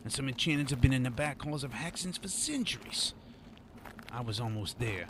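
Man playing jittery, animated streetwise informant. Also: medium pitch, normal speed, background noise, natural voice. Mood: explaining. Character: nervous, shifty supernatural informant on the run. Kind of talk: radio drama monologue and dialogue.

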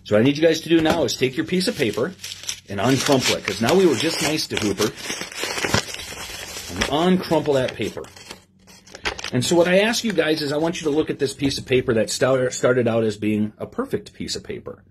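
Expressive man reading a children's story. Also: mid-range voice, a little fast. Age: middle-aged. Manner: expressive sing-song reading, simple instructions. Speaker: police lieutenant reading to children. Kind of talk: read-aloud story time with asides to young listeners. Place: small office, indoors. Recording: clear background noise with clicks.

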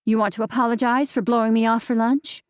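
A woman's voice: fairly high pitch, level tone, quick pace, clear, resonant tone, no room sound, studio quality, close to an everyday voice. A woman narrator voicing a blunt, busy young mother scolding her sister. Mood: sharp.

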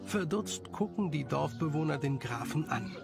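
A man's voice: on the high side, animated, normal speed, vocal fry, loud music behind the voice. A man reading scripted story narration as the narrator.

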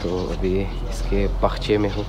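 A man's voice: low voice, flat delivery, normal speed, background noise. A teenage boy, a Persian speaker, vlogging casually into a phone held at arm's length, with a sore throat from a cold, chatting to viewers as guys. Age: teens. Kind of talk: casual vlog talk to camera. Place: home rooms and outdoors.

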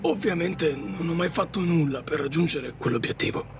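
An actor playing a resigned, regretful taxi driver in an audio drama, speaking politely, reflectively and with some hesitation. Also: male voice, a little high, normal speed, creaky, background noise. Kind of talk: Italian audio drama acting.

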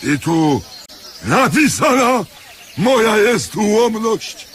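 A gruff, scolding deaf male beggar from a comic radio play. His high-pitched voice is slow and curt, heard over faint noise and birds. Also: big pitch swings, a bit bright, exaggerated voice. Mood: cranky, defensive. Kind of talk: Polish radio comedy drama acting.

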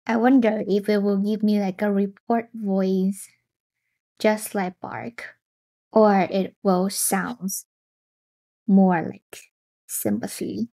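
A woman's voice: medium pitch, slow, pauses often, mellow. A young woman hosting a casual screen-share tutorial, thinking aloud and reacting to results as she goes. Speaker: tech tutorial host. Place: indoor room.

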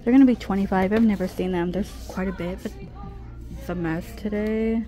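A woman's voice: medium pitch, steady tone, a little fast, dark tone, faint music behind. A middle-aged woman vlogging a casual shopping trip, chatting and reading out prices as she browses a busy store. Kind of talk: casual shop-with-me narration. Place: busy discount clothing store.

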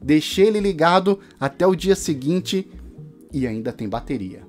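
A man's voice: fairly high pitch, animated, normal speed. São man reviewing gear, music behind. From Brazil. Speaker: tech reviewer. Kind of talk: casual product review to camera. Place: small home studio room.

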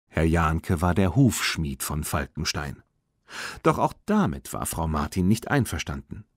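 A man narrating a children's audiobook in an expressive storytelling manner. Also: a bass voice, a little slow, husky, dry recording, clean studio sound.

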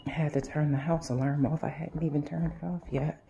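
A woman vlogger talking casually to her viewers in a halting, unscripted way, often circling back on herself as she shows her house. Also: sits low, steady tone, vocal fry, dull audio, background noise. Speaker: home and lifestyle vlogger. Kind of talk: casual chat to viewers during a house tour. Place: inside a house, walking between rooms and sun porch.

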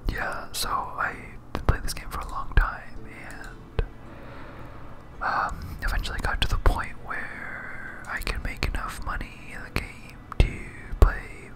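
A gamer speaking in a breathy whisper, giving soft, rambling ASMR commentary while playing a video game.

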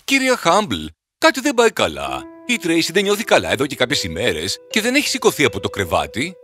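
A man's voice: a little high, huge pitch swings, pushed and bright, soft background music, full character voice. A man voicing an anxious, exclamatory old scientist in a cartoon fairy tale. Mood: worried. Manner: worried, exclamatory. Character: anxious old male scientist, flustered husband.

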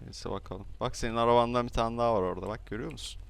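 A man chatting casually and joking while roleplaying in an online game, with animated banter. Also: mid-range voice, very fast, vocal fry, smooth. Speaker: gaming video streamer.

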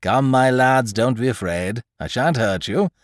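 A man voicing a suave, mocking gentleman thief who talks in a jaunty, patronizing, theatrical way. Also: medium pitch, a little slow, dry recording, clean studio sound, pitched above the performer's own voice, close to an everyday voice.

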